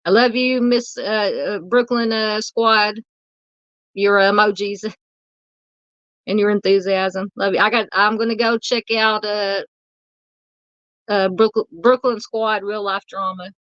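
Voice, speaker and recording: A woman's voice: medium pitch, fairly even intonation, slow, pauses often, fairly bright tone, dry recording, lo-fi sound. Chatty woman hosting a livestream, voice wearing out. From Southern United States. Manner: rambling, chatty, many fillers. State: losing her voice. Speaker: true crime livestream host. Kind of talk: casual livestream chat reading comments.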